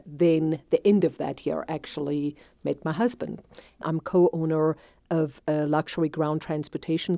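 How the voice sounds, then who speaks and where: A woman's voice: low-pitched, darkish tone, no room sound. A woman in her forties from South Africa, a native Afrikaans speaker with a South African accent, telling her life story in a reflective interview and laughing easily.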